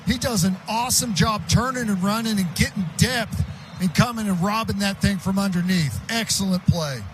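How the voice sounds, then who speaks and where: A man's voice: high voice, moderate pace, noisy background. An American man giving casual, enthusiastic color analysis of football plays on a live radio broadcast. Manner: casual, enthusiastic, chatty breakdowns. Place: stadium radio booth.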